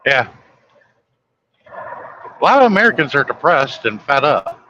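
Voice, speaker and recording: A man's voice: medium pitch, very animated, unhurried, pushed and bright, dull audio, slight background noise. A man chatting casually late at night on a live stream, blunt and griping, swearing freely.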